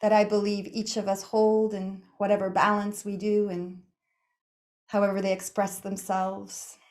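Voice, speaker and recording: A woman leading a guided meditation in a soothing, unhurried manner, speaking in short phrases with pauses between them. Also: medium pitch, monotone, darkish tone. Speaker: yoga nidra meditation guide.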